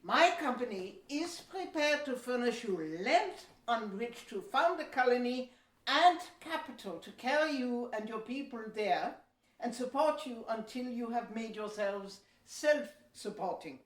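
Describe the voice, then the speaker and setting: A woman playing a courteous, persuasive male Dutch company agent in a historical audio play, speaking formally and deliberately. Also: on the high side, expressive. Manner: formal, deliberate, measured delivery. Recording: roomy echo, distant from mic.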